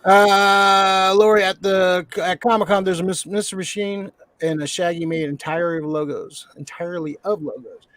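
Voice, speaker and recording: A man's voice: high voice, fairly even intonation, normal speed, few pauses, fairly bright tone, dry recording. A man co-hosting a podcast in casual chat, rambling and profane, often correcting himself mid-sentence. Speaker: podcast co-host.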